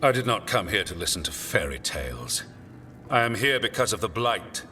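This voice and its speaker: An actor playing a stern, haughty military commander in a fantasy game, speaking formally and dismissively. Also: male voice, lowish voice, sing-song, moderate pace. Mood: skeptical, impatient. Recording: echoing hall under loud game music.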